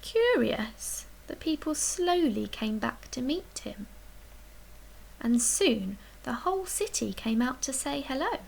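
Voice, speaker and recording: A woman reading a children's picture book aloud as a storyteller, expressively, with dramatic emphasis and hushed, breathy dips. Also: sits high, very animated, takes it slowly, low-quality recording. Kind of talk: expressive read-aloud bedtime story narration.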